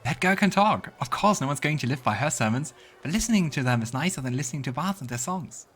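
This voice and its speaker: A man's voice: on the high side, lively intonation, quick pace, a bit bright, voice pushed up. A man voicing a worldly townsperson in a fantasy game, with a wry, dismissive way of talking. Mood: wry amusement. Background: game music.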